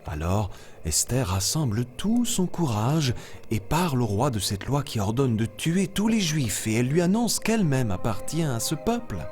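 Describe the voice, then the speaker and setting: A kindly animal storyteller for a children's cartoon , played by a man. The mid-pitched voice swings expressively through the narration in a teacherly way, with music clearly behind it. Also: sing-song, normal speed, a little mellow, lightly acted. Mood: storytelling.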